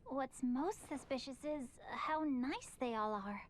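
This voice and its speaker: A woman's voice: sits high, animated, measured pace, a little hiss or hum. A woman voicing an earnest young female attendant in a video game, plain-spoken and girlish. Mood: earnest, puzzled. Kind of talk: video game cutscene acting.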